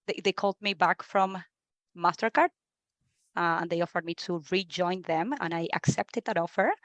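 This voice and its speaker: A woman's voice: on the low side, fairly bright tone, no echo. Argentine woman, chatty and dry-sounding. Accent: Argentine Spanish. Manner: chatty, repeats words, laughs easily. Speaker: guest, HR leader. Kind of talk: conversational interview.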